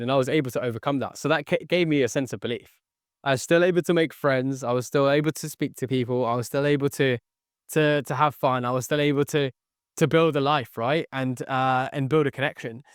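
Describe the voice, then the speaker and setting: A man's voice: mid-range voice, fast talker, clear, resonant tone, no room sound, studio quality. A young man whose first language was Tagalog, telling his life story as a podcast guest in an earnest way, repeating phrases and saying "okay" often. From born near London, raised in the Philippines. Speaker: guest, sales entrepreneur. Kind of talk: podcast interview.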